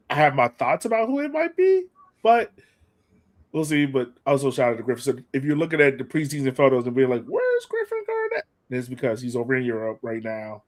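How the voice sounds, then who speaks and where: A man hosting a sports fan podcast, chatting casually in an informal, slangy, enthusiastic way. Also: medium pitch. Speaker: podcast host.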